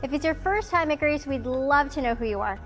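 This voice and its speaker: A woman with a high voice reads a scripted church welcome at a quick clip. She speaks as an announcer, with music playing underneath. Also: animated, a bit bright.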